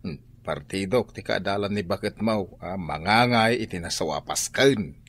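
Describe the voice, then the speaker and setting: A man's voice: low voice, normal speed, a bit bright. A man with a Filipino accent playing a chatty gossip in a radio drama. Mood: gossipy.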